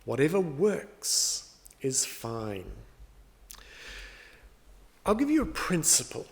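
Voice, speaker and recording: A man's voice: a little high, wildly swooping pitch, takes it slowly. A middle-aged man, a pastor giving a sermon in a conversational, storytelling way. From New Zealand.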